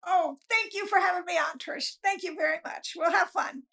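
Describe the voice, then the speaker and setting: A woman with a strikingly high, bright voice that crackles with creak. She is a guest on a talk, chatting casually. She tells stories in an animated, folksy manner. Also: normal speed. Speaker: author guest, novelist. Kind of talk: casual chat, storytelling.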